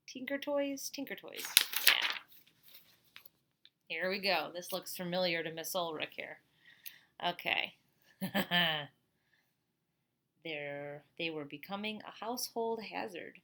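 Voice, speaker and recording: A middle-aged woman reading a picture book aloud like a teacher at storytime, expressive, with chatty asides and questions to young listeners. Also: fairly low pitch, vocal fry.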